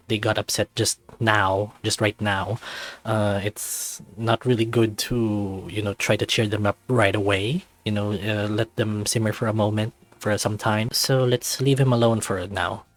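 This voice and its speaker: A man reading a visual novel aloud as its narrator, in an expressive storytelling style. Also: lowish voice.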